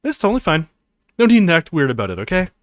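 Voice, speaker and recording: A man's voice: fairly high pitch, very animated, fast, dry recording. A man voicing a bubbly, teasing young college woman, an affectionate girlfriend in a visual novel. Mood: upbeat.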